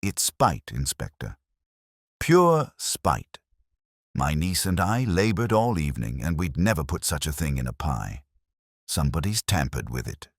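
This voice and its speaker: A man's voice: extremely low pitch, very animated, measured pace, breathy edge, no echo, clean studio sound, heavily acted. A man voicing an indignant, blunt small-town woman cook in a storybook mystery.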